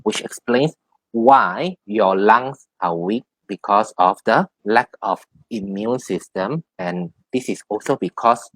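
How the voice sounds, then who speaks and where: Low-voiced man giving halting spiritual advice. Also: expressive, fairly bright tone, no room sound, rough recording. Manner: halting, repetitive explaining. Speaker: tarot card reader. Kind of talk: spiritual advice in a one-on-one reading.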